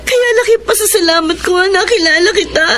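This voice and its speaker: A woman's voice: very high voice, fairly quick, noisy background. An actress playing a heartbroken young woman, crying and tearful, reproaching her lover. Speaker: radio drama actress. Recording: radio drama over a music bed.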